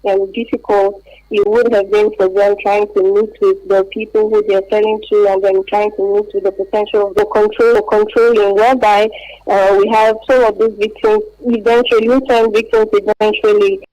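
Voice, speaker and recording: A woman's voice: medium pitch, little pitch movement, moderate pace, warm tone, no echo, rough recording. A woman explaining things as an expert guest calling in to a radio interview by phone. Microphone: phone call.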